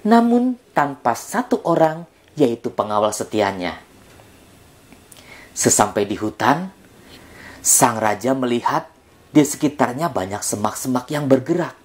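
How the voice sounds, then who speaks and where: A man telling a children's story in a deliberate, dramatic manner, ending with a moral lesson. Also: mid-range voice. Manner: expressive, deliberate, with dramatic emphasis.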